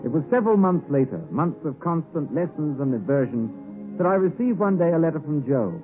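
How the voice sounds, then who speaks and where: A man playing an earnest, polite young man aspiring to be a gentleman in 1950s radio drama style, on a tinny recording. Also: fairly high pitch, lively intonation, fairly quick, natural voice. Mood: reflective, narrating. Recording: tinny 1950s radio broadcast, faint music.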